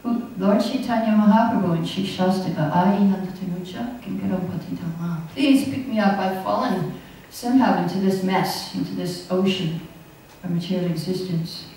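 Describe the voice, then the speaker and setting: A woman's voice: medium pitch, normal speed, dark tone, a little hiss or hum. Older woman lecturing in an echoey hall. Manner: chatty, anecdotal, laughs often while teaching. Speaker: class speaker. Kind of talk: scripture class lecture. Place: hall, indoors. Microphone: podium mic on stand. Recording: echoey hall, recorded from a distance.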